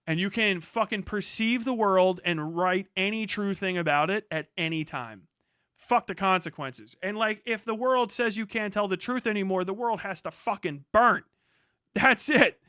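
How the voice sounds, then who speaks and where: An American man delivering an impassioned, profane livestream rant, forceful and emphatic, slipping into imagined dialogue as he goes. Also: sits high, moderate pace, clear, resonant tone, dry recording, clean studio sound. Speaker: streamer.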